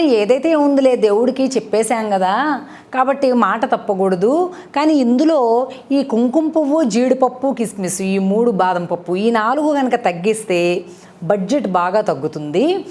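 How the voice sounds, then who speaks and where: A woman tells a moral story as a devotional storyteller, in an expressive, conversational way with rhetorical questions. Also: a little high, normal speed. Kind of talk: animated moral storytelling to an audience.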